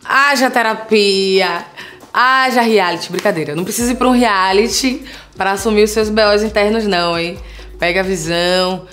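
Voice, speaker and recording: A woman's voice: medium pitch, moderate pace, faint music behind. A woman in her thirties from Salvador with a Bahian Portuguese accent, talking earnestly and conversationally to her viewers, often checking in with them. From Salvador, Bahia, Brazil. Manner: warm, earnest, conversational, frequent tag questions. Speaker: vlogger, content creator. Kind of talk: personal vlog talk to viewers.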